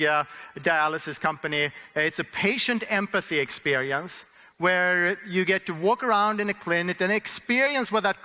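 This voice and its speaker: American guy giving a keynote, high bright voice, lots of uhs, big echoey hall. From Oklahoma, USA. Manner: animated, laughs often, many fillers. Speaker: conference speaker. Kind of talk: conference keynote talk. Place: large conference hall. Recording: reverberant hall.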